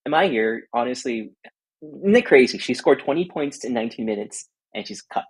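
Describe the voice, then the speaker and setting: A man's voice: medium pitch, expressive, moderate pace, husky. A man who is a guest sports writer, giving casual, emphatic sports commentary with asides. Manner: emphatic, building arguments with asides.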